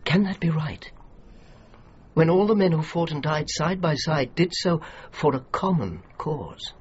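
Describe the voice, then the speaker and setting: An actor playing an earnest, dutiful British general with an English accent and a measured, formal, diplomatic way of speaking in a radio drama. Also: male voice, a little high, animated, slightly rough, heavily acted. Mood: earnest, placating. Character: earnest, dutiful British general defending his war graves commission. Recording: dull, noisy radio drama recording.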